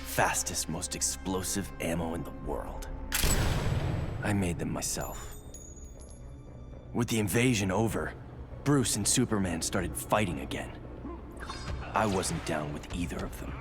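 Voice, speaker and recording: A man's voice: low-pitched, lively intonation, a little slow, pauses often, over music. A man playing a hard, defiant, gun-toting vigilante antihero in a video game monologue. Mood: grim, resolute.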